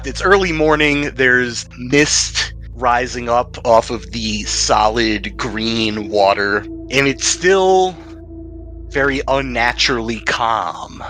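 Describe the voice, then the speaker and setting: A man with a bright, forceful voice acts as game master for a casual tabletop session. He takes his time, jokes informally and swears casually, with music playing behind him. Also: mid-range voice, takes it slowly, rough recording. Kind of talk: narrating a tabletop game among friends.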